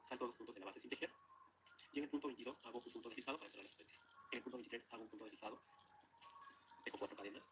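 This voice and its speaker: A man's voice: medium pitch, very fast, long pauses, noisy background. A man giving methodical, matter-of-fact, step-by-step craft instructions as a narrator. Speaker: crochet instructor.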